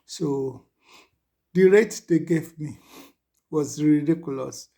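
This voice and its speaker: A man's voice: fairly high pitch, slow, dark tone. A middle-aged man from Nigeria with a Nigerian accent, chatting casually to his viewers as he tells a story and often checks in with "you understand?". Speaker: vlogger.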